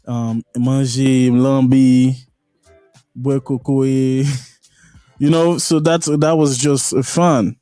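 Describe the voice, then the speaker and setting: A man with a Haitian accent hosts a podcast interview, speaking slowly and earnestly, often restarting his sentences. His voice is dark and mellow. The sound is dry and close, with faint music underneath. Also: mid-range voice, level tone, clean tone, no room sound. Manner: earnest, halting phrasing with restarts. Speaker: podcast host. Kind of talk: casual interview.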